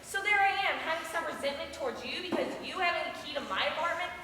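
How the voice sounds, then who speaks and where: A woman acting in an amateur stage scene as a sharp-tongued, guarded girlfriend with a sarcastic, defensive way of talking. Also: very high voice, lively intonation, fast, full character voice. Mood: exasperated, rambling. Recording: distant, echoey room with noise.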